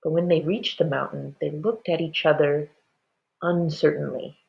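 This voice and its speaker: A woman reading a children's story aloud in an expressive, dramatic, deliberate way. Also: low-pitched, creaky, highs cut off.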